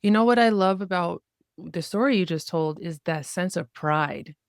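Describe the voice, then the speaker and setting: A woman hosting a podcast, her voice a touch low, full of eager enthusiasm as she reads out introductions and asks questions. The sound is close and dry. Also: normal speed, no echo. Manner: enthusiastic, warm interviewer. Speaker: podcast host. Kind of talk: podcast interview.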